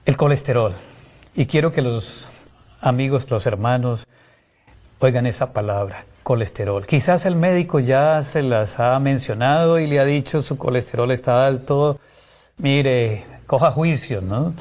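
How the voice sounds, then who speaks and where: A man's voice: medium pitch, a little slow, a little mellow. A man with a Colombian accent from Santander, speaking as a guest doctor and explaining things in an interview. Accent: Colombian Spanish, Santander.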